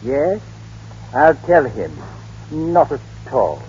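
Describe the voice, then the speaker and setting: High-voiced, deferential manservant. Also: male voice, sing-song, very slow, a little mellow, a little hiss or hum, exaggerated voice. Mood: polite. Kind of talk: 1930s radio acting. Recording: muffled, humming old 1930s radio recording.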